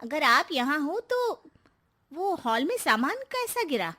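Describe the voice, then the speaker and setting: A woman's voice: high-pitched, very animated, slow pace, sharp tone, full character voice. A woman voicing a timid young daughter-in-law in a cartoon, nervous and pleading. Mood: puzzled.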